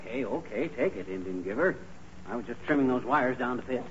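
A man's voice: medium pitch, expressive, fairly quick, close to an everyday voice. A man playing a stubborn, boastful, bumbling husband in a 1940s radio comedy, brash and folksy. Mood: defiant. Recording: noisy, muffled 1940s radio recording.

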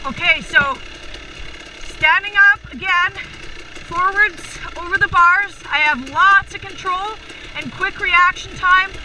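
A woman's voice: very high-pitched, slow pace, noisy background. A young woman giving upbeat riding tips while out on a forest trail on a dirt bike, recorded on a helmet camera mic. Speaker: motovlogger riding instructor.

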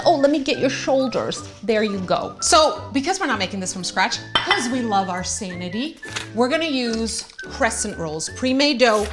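A woman from Croatia, around her forties, hosting a comedic cooking show in a chatty, self-mocking, animated way. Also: fairly high pitch. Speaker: host of a cooking and parenting show. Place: home-style kitchen, indoors. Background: music.

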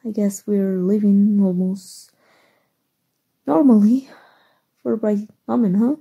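A woman from Mexico playing a shy, flustered, nerdy gamer superheroine in an ASMR roleplay, soft and halting, with stammers. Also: mid-range voice, dull audio, heavily acted. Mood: flustered, smitten. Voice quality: breathy, soft.

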